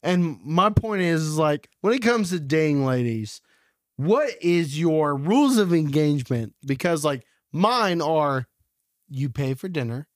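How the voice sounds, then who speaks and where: A man's voice: on the high side, animated, a little slow, no echo, studio quality. A man in his twenties hosting a podcast, rambling and restarting as he chats casually. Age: 20s. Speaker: podcast host. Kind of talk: casual chat.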